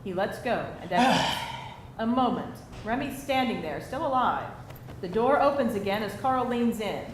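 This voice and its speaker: A woman's voice: a little high, lively intonation, moderate pace. Echoey woman reading stage directions. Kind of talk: scripted narration at a live screenplay table read. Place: indoor live reading before an audience. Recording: very echoey live venue, faint noise.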